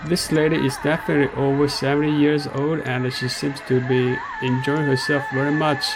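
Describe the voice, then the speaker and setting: A middle-aged man from China with a Chinese accent, narrating a walking tour of an outdoor park in slow, halting speech full of fillers. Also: fairly high pitch, flat delivery, a crowd in the background, background noise. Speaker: vlogger.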